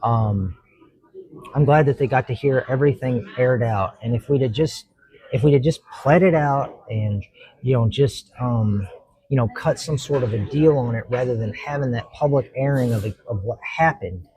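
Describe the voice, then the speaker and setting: Earnest halting judge, Kentucky man. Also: mid-range voice, mellow, low-quality recording. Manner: earnest, halting, many fillers and restarts. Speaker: judge, podcast guest. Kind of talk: casual interview talk.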